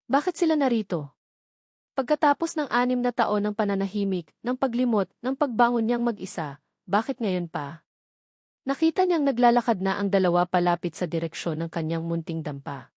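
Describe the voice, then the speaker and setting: A woman's voice: medium pitch, animated, moderate pace, darkish tone, no room sound. Filipino woman narrating a dramatic story, dry sound.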